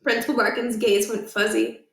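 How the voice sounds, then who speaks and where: A woman narrating a children's book aloud in an expressive storytelling style. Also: on the high side, normal speed, roomy sound.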